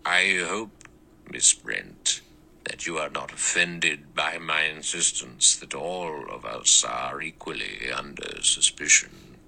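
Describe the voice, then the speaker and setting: A man voicing an elderly male judge with a small, clear, thin and sour voice, speaking slowly and measured. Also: rumbling low, expressive, sharp tone, slight background noise, voice pushed down, full character voice, rough recording. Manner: slow, measured, dry.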